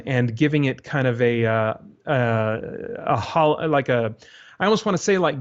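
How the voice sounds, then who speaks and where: A North American man talking casually as an interview guest, haltingly thinking aloud with false starts. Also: medium pitch. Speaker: interview guest, radio drama creator. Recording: dull, lo-fi audio.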